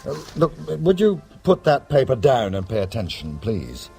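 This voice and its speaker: An actor playing a hapless, polite small-time rogue in a radio drama, with a hesitant, flustered manner. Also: male voice, medium pitch, wildly swooping pitch, moderate pace, dark tone, faint music behind. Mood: politely impatient.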